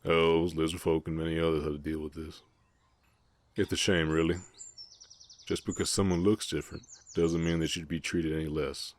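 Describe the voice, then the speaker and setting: Man voicing a big gentle orc cowboy, extremely deep voice, Southern US drawl, polite, shy, halting, intimate Western audio roleplay. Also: little pitch movement, no room sound. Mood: resigned, plainspoken. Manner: halting, polite, soft-hearted drawl with folksy turns. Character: big strong gentle orc ranch hand, shy and guarded. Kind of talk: intimate ASMR romance roleplay, Western setting.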